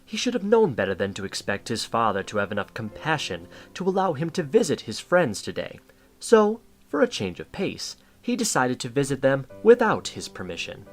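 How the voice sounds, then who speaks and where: A man's voice: medium pitch, wildly swooping pitch, normal speed, soft background music. A man reading scripted story narration as the narrator of an audio drama.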